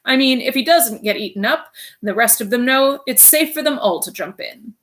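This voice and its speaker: A woman reading aloud, voicing a shy, dreamy, bookish middle-aged man in an eager, gentle way. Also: fairly high pitch, expressive, moderate pace, voice pushed up.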